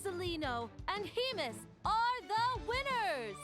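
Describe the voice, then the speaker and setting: A woman voicing an excited contest announcer in an anime game, grand and declarative. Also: extremely high pitch, slow, heavily acted. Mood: proclaiming. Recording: loud game music behind.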